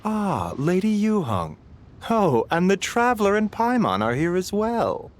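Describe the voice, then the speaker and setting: An actor playing a calm, courteous male doctor in a video game, polite and measured in his speech. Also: high-pitched, heavily acted. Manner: polite, soft-spoken, measured.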